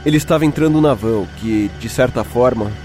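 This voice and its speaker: A man's voice: medium pitch, a little fast, lightly acted. A man acting as a shaken young Brazilian who tells his own horror story in a suspenseful, dramatic way. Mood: ominous, tense. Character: shaken young man recounting a night-time horror encounter. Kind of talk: dramatic first-person horror story narration with acted dialogue. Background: music.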